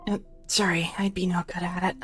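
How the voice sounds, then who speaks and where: A man's voice: high-pitched, little pitch movement, fairly quick, a bit bright, pitched above the performer's own voice. A man voicing a shy, self-doubting young woman who hesitates and trails off into near-whispers. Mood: timid. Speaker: streamer voicing game characters.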